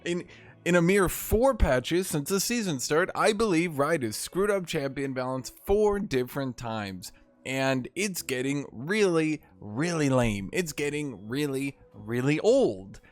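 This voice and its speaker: A man with a slightly hoarse voice hosting a gaming video, ranting with sarcastic, salty commentary. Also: fairly high pitch, lively intonation, unhurried.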